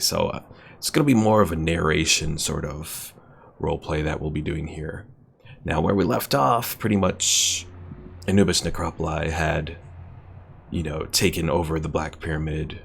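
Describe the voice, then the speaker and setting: Deep gravelly male gaming streamer. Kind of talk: casual game commentary, some reading aloud. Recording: faint background noise.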